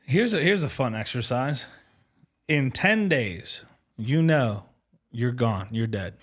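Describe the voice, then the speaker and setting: A man's voice: medium pitch, expressive, takes it slowly. Conversational podcast host in his thirties. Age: 30s. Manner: conversational, trails off mid-thought.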